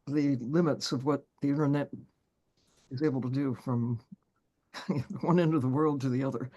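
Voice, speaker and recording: Woman co-host with quick asides. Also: extremely low pitch, fairly even intonation, mellow. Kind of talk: casual interview over video call. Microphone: video call.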